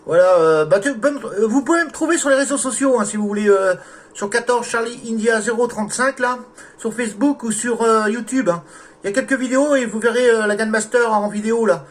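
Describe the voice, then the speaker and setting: A man's voice: very high voice, fairly quick. A man from France chatting casually as an amateur radio operator, with courteous sign-offs. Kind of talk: casual radio contact chat.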